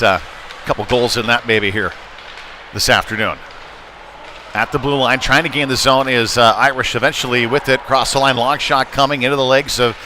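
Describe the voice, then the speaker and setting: Male hockey play-by-play man over rink noise. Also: medium pitch. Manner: conversational, hesitant, rising excitedly on shots. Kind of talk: live youth hockey game commentary. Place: rinkside at an indoor hockey arena. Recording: noisy rink ambience behind voice.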